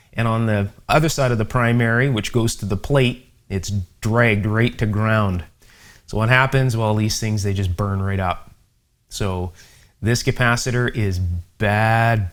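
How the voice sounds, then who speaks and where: A man giving an unhurried, methodical hands-on electronics repair demonstration, with conversational asides as he explains each step. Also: lowish voice. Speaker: host, electronics repair instructor. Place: indoor electronics workshop.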